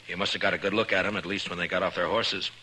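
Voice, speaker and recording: An actor playing a dogged, stern frontier marshal in a 1950s western radio drama, questioning tersely and wryly on a noisy old recording. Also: male voice, low-pitched, flat delivery, rapid-fire, pushed and bright. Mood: probing. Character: male frontier marshal, dogged, wry, stern about the law.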